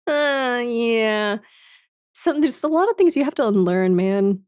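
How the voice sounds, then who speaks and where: A woman's voice: fairly high pitch, lively intonation, slow pace, clean tone, dry recording. Laid-back woman streamer with a dry sound. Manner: frank and chatty, swears often, laughs a lot, fills with "like" and "man". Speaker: livestreamer. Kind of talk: casual chat, reading and answering viewer comments.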